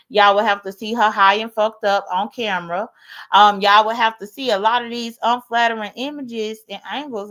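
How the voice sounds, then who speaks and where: A woman's voice: mid-range voice, fairly bright tone. A woman in her late thirties with an American accent, chatting casually on a livestream in a blunt, sassy way and swearing freely. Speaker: livestream host. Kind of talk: casual livestream chat, airing grievances.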